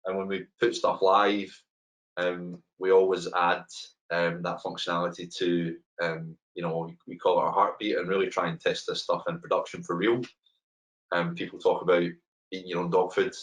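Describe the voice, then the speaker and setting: A middle-aged man with a Glaswegian accent gives a webinar talk over a video call from a room at home, relaxed and conversational with many ums and repeated words. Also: low voice, moderate pace, poor audio. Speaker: head of open banking technology, webinar speaker. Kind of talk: webinar presentation. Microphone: webcam on a video call.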